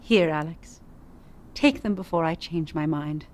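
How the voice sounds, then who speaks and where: A woman's voice: sits low, unhurried, a little mellow. An actress playing a gracious, welcoming middle-aged society mother in an audio mystery drama, polite and affectionate. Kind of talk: audio re-creation of a 1930s mystery film. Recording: slightly noisy track with clicks.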